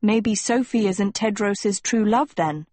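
Woman as a sly, husky mirror reflection. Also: medium pitch, slow pace, mellow, no room sound. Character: hideous living reflection of a teenage girl, sly and needling.

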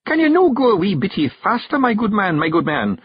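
High, blustering Scottish oddball. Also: male voice, big pitch swings, slightly rough, dull audio, full character voice. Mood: impatient. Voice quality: growly, snarling. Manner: blustering, halting, self-correcting, bossy. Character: bearded bumbling bossy stranger, tiny folk disguised as one man.

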